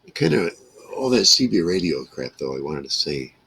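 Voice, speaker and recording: Older midwest american guy rambling about old times, super low gravelly voice, blunt and folksy. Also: very animated, sharp tone. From Michigan, USA. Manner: blunt, folksy, halting storytelling. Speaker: former CB radio operator. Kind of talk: casual rambling reminiscence.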